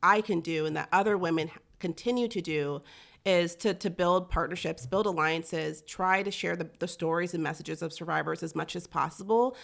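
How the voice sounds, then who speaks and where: A woman's voice: on the low side, moderate pace, gravelly. An American woman speaking as an advocate and interview guest, earnest and articulate in conversation.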